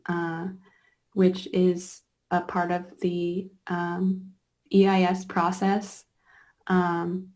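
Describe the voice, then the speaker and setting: A young woman activist from Alaska speaking haltingly and earnestly, with many fillers. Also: on the low side. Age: young adult. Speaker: youth activist guest. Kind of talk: casual panel conversation.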